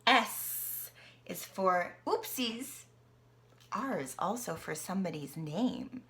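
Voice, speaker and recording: A young woman reads an alphabet picture book aloud to her niece, playful and animated, often dropping to a whisper. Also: mid-range voice, takes it slowly, slight background noise. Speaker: aunt reading to her niece.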